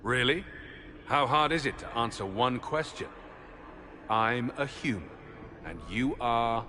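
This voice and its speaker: An actor playing a wry, questioning human hero in a video game, sardonic and plain-spoken. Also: male voice, medium pitch, animated, a little slow, pushed and bright, slight background noise. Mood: exasperated. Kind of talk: video game cutscene acting.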